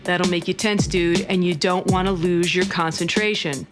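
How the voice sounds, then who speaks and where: A woman's voice: on the low side, steady tone, moderate pace, close to an everyday voice. Woman playing a bossy American skater coach over guitar. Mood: bossy advising. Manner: slangy, hectoring pep talk. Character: slangy, bossy skateboarder dude coaching a would-be pro. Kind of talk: scripted monologue, microfiction. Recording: loud guitar music under the voice. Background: guitar music.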